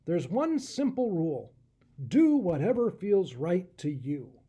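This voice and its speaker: A man's voice: high-pitched, big pitch swings, slow pace, mellow, breathy edge, heavily acted. A man voicing a dismissive, pompous male goat professor in a children's storybook, scoffing and emphatic. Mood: breezy assured.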